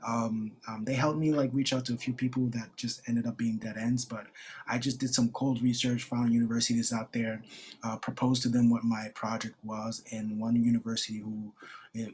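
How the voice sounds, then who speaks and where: A man's voice: medium pitch, level tone, normal speed, darkish tone, slight background noise. Hesitant young man on a video call. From New York. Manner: hesitant, many fillers and restarts. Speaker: former grantee. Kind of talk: casual online info session talk.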